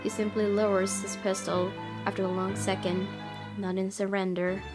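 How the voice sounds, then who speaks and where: A woman's voice: medium pitch, little pitch movement, normal speed. A woman reading a visual novel aloud as first-person dramatic narration. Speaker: gaming video host reading aloud. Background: game music.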